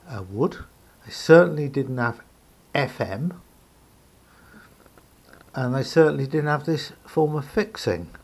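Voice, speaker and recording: A man with a gravelly, husky voice gives an unscripted hands-on demonstration, thinking aloud hesitantly as he explains. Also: medium pitch, a little mellow. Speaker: hobbyist radio repairer. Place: indoor room at a table.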